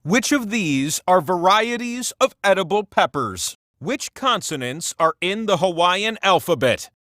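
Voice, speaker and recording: A man's voice: high-pitched, lively intonation, unhurried, sharp tone, no room sound, studio quality, full character voice. A man voicing an immortal talking game-show wheel, a boastful, campy and playful trivia host with theatrical delivery. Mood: upbeat quizzing. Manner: playful, showy, self-important asides.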